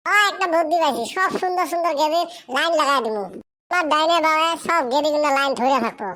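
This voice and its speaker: A very high, childlike voice put on by a performer for a teasing village youth in a cartoon comedy. The delivery is exclamatory and full of banter. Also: quick pace, bright, forceful tone. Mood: playful.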